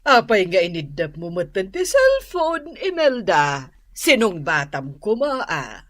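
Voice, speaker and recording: Slow, lively Filipina madam. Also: female voice, mid-range voice, no room sound. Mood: nosy, breezy. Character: madam, a nosy regular parlor customer. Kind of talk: Filipino radio drama acting.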